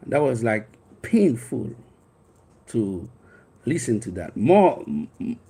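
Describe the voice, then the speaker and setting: Husky-voiced Haitian man, rambling storyteller. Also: mid-range voice, very animated, extremely slow, mellow. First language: Haitian Creole. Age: 50s. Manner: rambling, earnest, frequent restarts and fillers. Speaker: life coach. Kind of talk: personal storytelling monologue.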